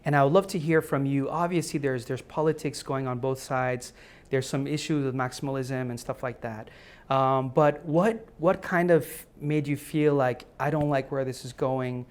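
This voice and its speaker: A man's voice: mid-range voice, normal speed. A man hosting an interview, asking rambling questions and laughing often. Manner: giggly, excitable, stumbling questions. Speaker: interview host. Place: conference venue.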